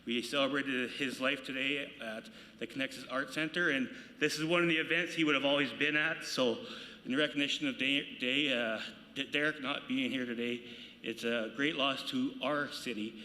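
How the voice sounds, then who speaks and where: Earnest politician guy, bright voice, speaking off the cuff at a podium mic, long echo in a big hall. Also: mid-range voice, steady tone, normal speed. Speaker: local politician giving remarks. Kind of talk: unscripted speech. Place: large banquet hall at a gala. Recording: echoey hall.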